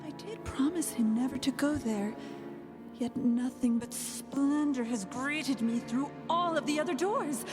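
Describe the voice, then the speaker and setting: An actress playing a naive, curious young wife who muses theatrically to herself in an audio drama. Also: female voice, sits high, unhurried. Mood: resolved, eager. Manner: theatrical musing aloud. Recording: prominent music under the voice.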